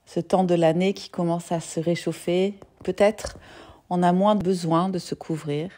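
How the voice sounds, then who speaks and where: Woman, slightly low pitch, mellow relaxed tone, soft-spoken, soothing guided meditation, lingering pauses. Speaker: yoga nidra teacher.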